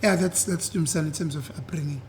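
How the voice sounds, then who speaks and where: A young South African man speaks in a husky, slightly high voice. He talks loosely and casually as a guest, often slipping into isiZulu and repeating "yeah". A faint background hiss sits under the recording. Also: fairly quick. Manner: relaxed, switches between English and isiZulu, repeats "yeah". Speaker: guest, singer. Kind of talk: casual interview chat.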